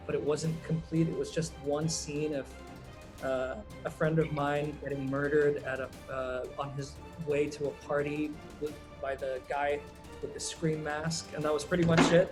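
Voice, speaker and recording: Laid-back man, filmmaker guest. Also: a little high. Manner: relaxed, rambling, many ums and uhs. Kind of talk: casual interview answers.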